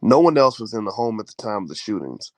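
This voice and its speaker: A man's voice: fairly low pitch, big pitch swings, quick pace, no echo. A man hosting a casual live-stream chat from bed, with a groggy morning voice, loose and chatty with many fillers. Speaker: host. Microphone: close mic at home, in bed.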